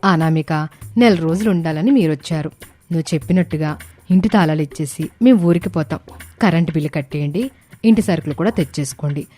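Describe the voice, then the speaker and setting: A woman voicing a shrewd aunt who feigns sweet regret, expressive, in an animated moral story. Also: low-pitched, fairly quick, faint music behind. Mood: sly politeness.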